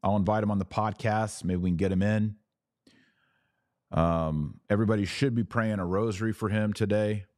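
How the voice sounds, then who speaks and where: Man, low-pitched voice, dry close recording, podcast host, conversational but emphatic religious commentary. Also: fairly even intonation, moderate pace, darkish tone, no room sound. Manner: emphatic, conversational, acts out imagined dialogue. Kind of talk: informal religious commentary, addressing listeners.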